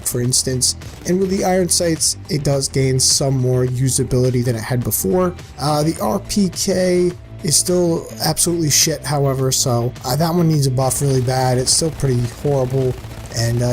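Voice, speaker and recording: A man with a mid-pitched voice hosts a gaming video in a casual, informal style. His commentary rambles along loosely like an offhand walkthrough. Music plays clearly behind him. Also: darkish tone. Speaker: video host presenting game mods.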